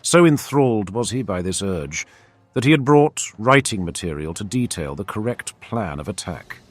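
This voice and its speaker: Deep-voiced man narrating fantasy lore. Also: lively intonation, moderate pace, dry recording. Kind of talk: scripted fantasy story narration. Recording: faint background music.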